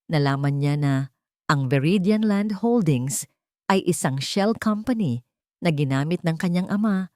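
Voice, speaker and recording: Low-voiced woman reading a drama. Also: expressive, a little slow, warm tone, no echo, clean studio sound. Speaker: storyteller. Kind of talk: scripted narration of a love story.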